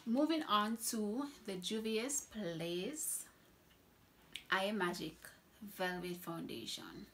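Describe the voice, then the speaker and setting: A young woman from Trinidad works as a makeup artist and reviews her products for a casual vlog. She talks slowly, with a gravelly fry, and stacks up repeated words to stress how much she loves something. A faint hiss of background noise sits under her voice. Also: mid-range voice, sharp tone. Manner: enthusiastic, repeats words for emphasis.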